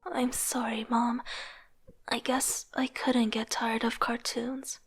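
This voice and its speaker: Woman acting a young aspiring artist, slightly high voice, soft, introspective, wistful motion-comic narration. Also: level tone, slow, husky, faint music behind, exaggerated voice. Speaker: narrator and lead character. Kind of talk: reflective first-person narration with dialogue.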